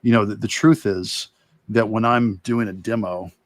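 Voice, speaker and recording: Low-voiced man thinking aloud, close dry sound. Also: steady tone, no room sound. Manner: reflective, halting, long rambling answers. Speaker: illustrator and course instructor demonstrating. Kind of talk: casual demo talk while drawing.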